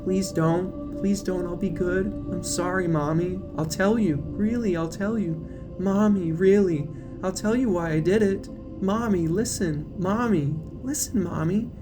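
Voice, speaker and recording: A man's voice: sits high, a little slow, voice pushed up. A man voicing a disturbed figure in a horror audio drama, pleading repetitively and whimpering like a child, over music. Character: muttering disturbed person pleading childishly to Mommy. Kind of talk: audio drama character reading. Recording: music playing behind the voice.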